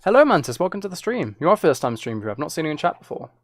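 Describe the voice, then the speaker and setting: A man's voice: a little high, sing-song, very fast, dry recording. A man chatting casually on a livestream, answering viewers in a rambling, conversational way and repeating his phrases. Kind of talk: casual livestream chat, reading out and answering comments.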